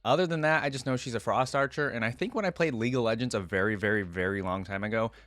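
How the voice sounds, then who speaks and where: A man's voice: medium pitch, quick pace, fairly bright tone, dry recording, soft background music. A man hosting a reaction video, talking casually and thinking aloud as he comments and reads text out.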